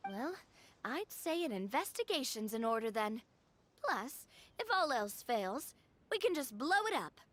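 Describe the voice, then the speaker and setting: A cheerful young adventurer gal, high voice that jumps around, upbeat, music bed. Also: female voice, unhurried, full character voice. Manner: lively, upbeat, eager.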